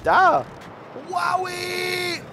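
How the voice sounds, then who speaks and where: An excitable gamer chatting casually during play, silly and hyperactive, often exclaiming. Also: very high-pitched, extremely slow, raised voice. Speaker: gaming video partner. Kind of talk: excitable casual chat while gaming. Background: video game vehicle and combat noise.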